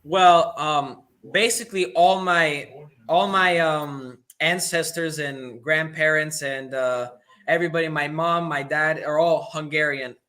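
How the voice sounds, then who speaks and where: A young man from the United States, answering interview questions in a halting way with many "um"s and "basically"s. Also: on the high side, steady tone, bright, forceful tone. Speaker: guest, young racer.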